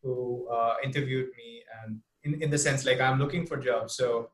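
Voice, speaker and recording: A man from India hosting an interview, telling a story in a lively, chatty way. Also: mid-range voice, normal speed, crowd noise. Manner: animated storytelling, quoting others. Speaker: interview host. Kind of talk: casual interview chat. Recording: echoey room.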